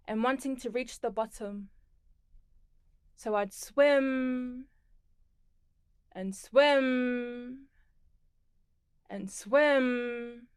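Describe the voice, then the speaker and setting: Slow, clear-voiced woman reciting poetry. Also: on the high side, no echo, clean studio sound. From Torres Strait Islands and Tonga. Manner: slow, measured and deliberate. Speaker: performing poet. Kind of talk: spoken word poetry performance.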